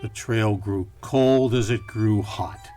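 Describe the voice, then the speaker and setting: A middle-aged actor playing a hard-boiled, world-weary private detective, narrating in a deadpan, measured radio play style. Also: male voice, lowish voice. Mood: brooding narration. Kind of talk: campy film noir radio play narration. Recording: music behind the voice.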